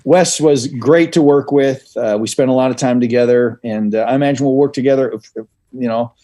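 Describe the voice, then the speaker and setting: A middle-aged man talking at an ordinary pitch, circling back on his sentences and restarting them often. He delivers his jokes dry, with a wry, unhurried feel. It sounds like a relaxed podcast interview. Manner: rambling, self-interrupting, dry humor. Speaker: guest comedy writer and actor.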